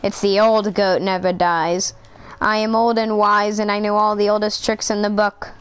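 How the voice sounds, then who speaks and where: A woman voicing a boastful, taunting old goat villain who is now a giant T-Rex, in a high-pitched voice in an amateur game machinima. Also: little pitch movement, normal speed, clear, resonant tone, lightly acted, poor audio.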